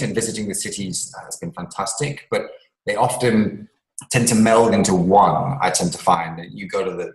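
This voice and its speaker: A man's voice: low-pitched, echoey room, rough recording. A man from England, an author and scientist, answering interview questions at length with many ums and restarts.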